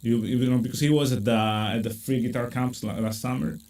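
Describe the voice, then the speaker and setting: Italian-accented male host, live-stream chat, animated and chatty, filler-heavy. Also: a little fast. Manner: animated, many fillers, pitch jumps when excited. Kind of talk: casual video-call chat. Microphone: video call.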